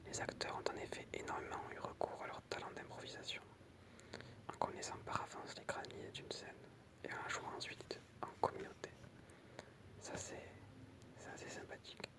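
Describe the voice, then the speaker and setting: A person whispering in an ASMR style, reading out short pieces in a soft, explanatory way with casual asides. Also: moderate pace. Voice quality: unvoiced, breathy whisper. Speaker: ASMR creator reading film trivia with commentary.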